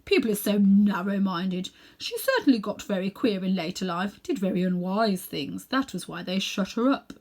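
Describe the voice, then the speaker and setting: Woman acting middle-aged gentleman magician, pompous, condescending, sinister, self-important, drawn-out phrasing, storybook villain. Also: medium pitch, lively intonation. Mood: evasive. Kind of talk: children's storybook character reading.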